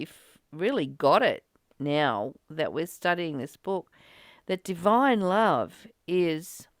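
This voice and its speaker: Woman, slow unhurried pace, slightly low pitch, earnest, halting, reflective spiritual talk, close dry sound. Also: no echo. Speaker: study group reader and discussion partner. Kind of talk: reflective spiritual discussion with passages read aloud.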